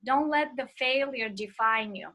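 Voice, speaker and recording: Woman with an accent, middle-aged, bright tone, slightly high voice, earnest lecturing. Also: unhurried. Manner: speaks with an accent; earnest, personal anecdotes, frequent fillers. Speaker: ministry instructor. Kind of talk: recorded online class lecture.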